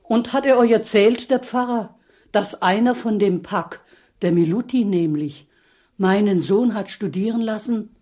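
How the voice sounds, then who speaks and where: A woman's voice: medium pitch, a little slow, dark tone, close to an everyday voice. A woman playing a plain-spoken, protective village widow in a radio play, homely and emphatic. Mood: protective, insistent.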